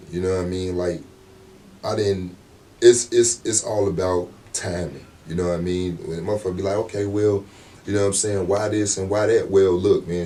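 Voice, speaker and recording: A deep, low-pitched man talks in a loose, easygoing interview, full of slang and constant check-ins with his listener. He sounds set back from the mic. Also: warm tone, recorded from a distance. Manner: laid-back, slangy, repeats "you know what I'm saying". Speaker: rapper guest. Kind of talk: casual interview talk.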